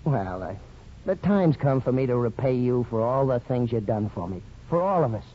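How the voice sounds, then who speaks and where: An actor playing a boyishly earnest, defensive young veteran son with hesitant starts, in a 1950s radio drama. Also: male voice, mid-range voice, sing-song, moderate pace, husky. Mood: eager. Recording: muffled 1950s radio broadcast.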